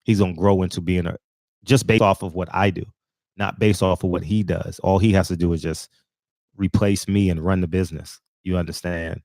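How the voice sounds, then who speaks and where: A man in his forties hosts his own commentary channel, talking off the cuff. His voice sits very low, and the sound is close and dry with no room around it. He rambles, restarting sentences and leaning on fillers. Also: steady tone, brisk, darkish tone, no room sound. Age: 40s. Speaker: channel host. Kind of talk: casual commentary monologue.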